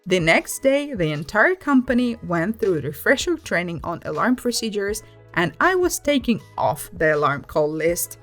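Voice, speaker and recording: A woman reads scripted stories aloud as a narrator, with an expressive, emphatic delivery over background music. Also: medium pitch, normal speed.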